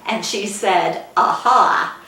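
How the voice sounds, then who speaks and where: An older woman with an American accent gives an informal book talk as a guest author, speaking haltingly with frequent pauses mid-sentence. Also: medium pitch, pushed and bright. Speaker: guest author and former newspaper editor. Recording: echoey room, distant mic, background noise.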